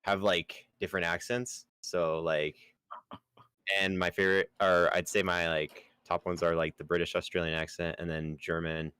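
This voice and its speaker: A man's voice: low voice, little pitch movement, moderate pace, sharp tone, clear, resonant tone, no room sound. A man rambling in a casual group chat, full of likes and ums.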